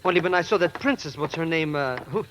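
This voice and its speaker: An actor playing a nervous, nosy sidekick to a secret agent, excitable and rambling, in an old radio drama. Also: male voice, fairly high pitch, fast, close to an everyday voice. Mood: uneasy. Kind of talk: 1940s radio drama acting. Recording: noisy old radio recording.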